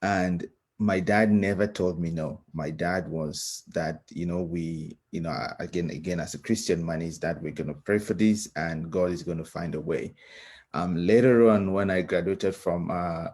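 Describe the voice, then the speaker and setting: A man with a Cameroonian accent speaks in a very low, husky voice. He talks reflectively as a guest speaker, earnest and thoughtful, often halting to find the next phrase. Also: steady tone, darkish tone. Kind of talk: reflective personal talk.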